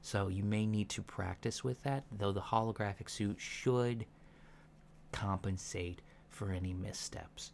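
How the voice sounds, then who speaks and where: Man, lowish gentle voice, soft-spoken, slow hesitant explaining, ASMR instructional roleplay, lo-fi recording with faint noise. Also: steady tone, creaky. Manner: measured, hesitant, explanatory, often pausing mid-sentence. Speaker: ASMR roleplay performer playing a training instructor.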